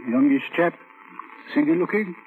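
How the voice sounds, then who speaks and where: A man's voice: a little high, moderate pace, close to an everyday voice. An actor playing a reserved, plain-spoken middle-aged male neighbour in a vintage radio drama, cautious and clipped in his answers. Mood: cautious recollection. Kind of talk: 1940s-1950s radio drama acting. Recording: tinny, hissy vintage radio recording.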